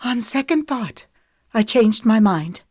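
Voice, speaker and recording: A woman voicing an enraged young woman, shrill and trembling with outrage. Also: very animated, slow pace, fairly bright tone, dry recording, clean studio sound, exaggerated voice. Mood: shrill, defiant. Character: furious young woman confronting a killer.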